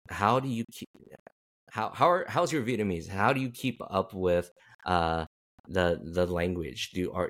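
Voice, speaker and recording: A man hosting a casual interview, speaking haltingly and repeating words as he forms his questions. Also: low-pitched. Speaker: host.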